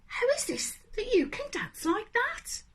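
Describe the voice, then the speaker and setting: A woman voicing brash, excitable jungle animals from a children's storybook, exclaiming loudly. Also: very high voice, a little slow, pitched above the performer's own voice, full character voice. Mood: amazed. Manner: loud, exclaiming.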